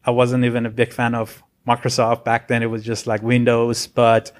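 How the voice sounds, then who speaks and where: A man's voice: medium pitch, monotone, normal speed. A man in his forties, originally from Iran, telling his career story as a podcast guest in an earnest, rambling way. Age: 40s. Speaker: guest, software architect. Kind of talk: casual interview chat.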